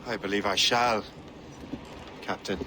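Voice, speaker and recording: A man's voice: lowish voice, steady tone, unhurried, lightly acted. A man playing a weary, principled sea captain in a video game, dry, terse and understated. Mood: weary, rueful. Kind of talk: video game cutscene acting. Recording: sea and ship noise behind.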